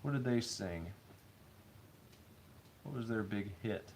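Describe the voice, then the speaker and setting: A man's voice: lowish voice, lively intonation, slow pace, creaky, darkish tone, slight background noise. A man in his thirties with a stuffy head cold, rambling playfully while he builds. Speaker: streamer building toys. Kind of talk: casual play-by-play while building. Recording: stream with background music. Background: background music.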